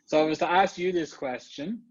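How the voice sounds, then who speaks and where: A man's voice: fairly high pitch, a little fast, slightly rough, no room sound, dull audio. Chatty Irish man on a video call. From Ireland. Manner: chatty, many fillers and restarts. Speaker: guest speaker, marketing executive. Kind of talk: casual online summit talk.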